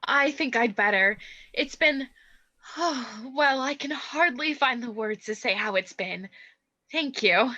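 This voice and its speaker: A woman's voice: a little high, slow, bright, forceful tone, lo-fi sound, heavily acted. A woman playing a modest, earnest, stage-struck young woman in a radio play. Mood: shy. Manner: modest, hesitant, polite.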